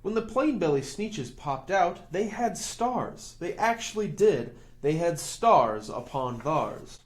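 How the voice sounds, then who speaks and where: A man reading a rhyming picture book to children, expressive and rhythmic, as the host of a storytime. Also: on the high side, takes it slowly.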